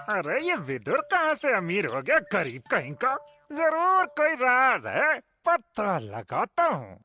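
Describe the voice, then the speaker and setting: A woman's voice: on the high side, wildly swooping pitch, takes it slowly, bright, forceful tone, soft background music, exaggerated voice. A woman voicing a jealous, scheming male neighbor who scolds people rudely in a cartoon. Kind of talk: cartoon voice acting.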